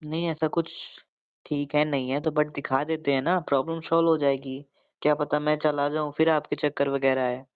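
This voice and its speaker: A man's voice: fairly high pitch, level tone, normal speed, smooth, natural voice. A man playing a caring, insistent young husband who banters affectionately in a casual domestic audio roleplay, with an informal, coaxing way of talking. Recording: tinny narrow phone-like band.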